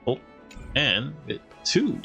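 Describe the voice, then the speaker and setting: Slow, gravelly-voiced game streamer. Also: medium pitch, sing-song, sharp tone. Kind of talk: casual reactions while playing a game. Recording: game music faintly behind the voice. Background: faint game music.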